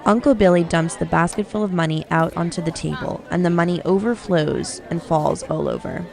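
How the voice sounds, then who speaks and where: A woman's voice: on the low side, expressive, fairly quick, gravelly, darkish tone. A woman narrating a scripted radio drama, reading out the action as narrator. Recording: music and crowd noise behind. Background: music and crowd bustle.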